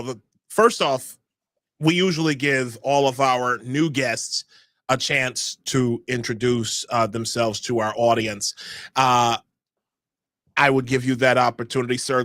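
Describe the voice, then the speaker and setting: A man's voice: medium pitch, fairly bright tone, no room sound. Upbeat podcast host man, dry sound. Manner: hyped, showman-style guest introductions. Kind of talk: casual podcast chat.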